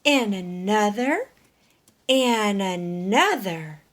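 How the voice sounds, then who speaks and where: A woman reading a picture book aloud to children, often hushed, with expressive, deliberate narration and questions for young listeners. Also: mid-range voice.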